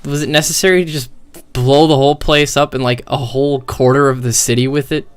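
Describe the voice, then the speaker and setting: A man's voice: mid-range voice, fairly even intonation, fairly quick, clean tone. A man giving casual, slangy streamer commentary on video game cutscenes, with swearing and incredulous questions.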